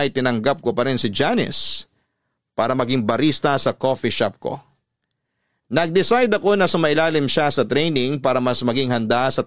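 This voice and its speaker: A Filipino man playing an earnest letter writer, narrating his family story in a measured, confiding radio drama style. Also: medium pitch, no room sound, clean studio sound, close to an everyday voice. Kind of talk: scripted first-person radio drama narration.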